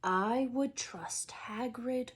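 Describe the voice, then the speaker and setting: A woman voicing a calm, grave, wise old male wizard from a storybook in a measured, hushed and firm way. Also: fairly high pitch, husky, exaggerated voice. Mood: serious.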